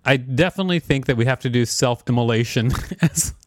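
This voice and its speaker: A man's voice: medium pitch, brisk, no room sound, clean studio sound. A man co-hosting a podcast, reading an article aloud with casual banter. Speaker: podcast co-host.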